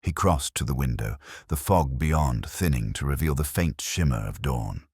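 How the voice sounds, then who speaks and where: A man's voice: very deep voice, lively intonation, normal speed, darkish tone, slightly rough, no echo, studio quality. A man reading a scripted mystery story as a dramatic narrator.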